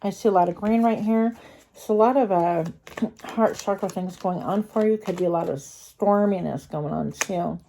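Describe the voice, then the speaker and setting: A woman's voice: mid-range voice, normal speed, gravelly, mellow, a little hiss or hum, low-quality recording. A woman with a cold and a congested voice, giving a casual, chatty tarot reading to her viewers with frequent fillers and restarts. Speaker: tarot card reader.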